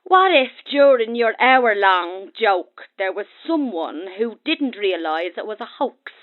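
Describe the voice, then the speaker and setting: Phone-line probing Irish caller. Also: female voice, medium pitch, expressive, measured pace. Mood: accusing. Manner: pointed, insistent. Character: probing, accusing female radio phone-in caller. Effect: phone line filter. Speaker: caller to a radio show. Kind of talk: naturalistic audio drama acting.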